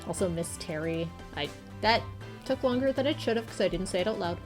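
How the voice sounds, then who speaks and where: A woman streaming a game comments casually in a medium-pitched voice. Game music plays clearly behind her. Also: animated, brisk.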